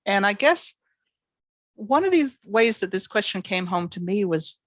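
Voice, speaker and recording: A woman's voice: medium pitch, big pitch swings, a little slow, no echo, clean studio sound. A middle-aged woman with a Canadian accent, a psychology professor answering interview questions thoughtfully and fluently. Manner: thoughtful, fluent academic explaining with many examples.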